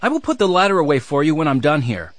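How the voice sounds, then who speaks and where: Man acting boy of fourteen, slightly high pitch, easygoing, practical, friendly, storybook character. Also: sing-song, fast, no room sound. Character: fourteen-year-old boy, capable, friendly big brother. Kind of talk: children's audiobook character voice.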